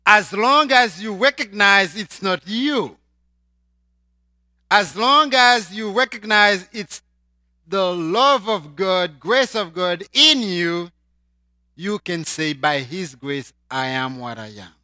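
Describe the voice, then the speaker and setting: A man from Haiti with a Haitian accent, preaching a sermon and swinging between loud emphatic lines and quiet asides. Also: sits high, animated, slow, no room sound, studio quality.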